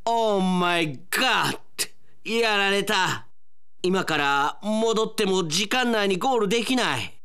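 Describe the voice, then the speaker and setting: Man acting excitable whiny young guy, wildly animated. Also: high-pitched, slow pace, clear, resonant tone, exaggerated voice. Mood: panicked, whining. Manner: theatrical exclamations, sprinkles in English phrases. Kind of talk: comic radio drama acting.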